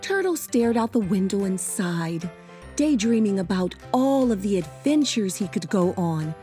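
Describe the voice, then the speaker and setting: Female picture-book storyteller, unhurried and very animated delivery, children's music underneath. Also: medium pitch. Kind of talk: expressive read-aloud of children's picture book.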